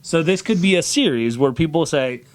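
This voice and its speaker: Animated man hosting a casual vlog. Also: a little high, normal speed. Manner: upbeat coaxing, addressing viewers as gang. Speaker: host of a comic book video series. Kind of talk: casual vlog chat, interviewing a partner.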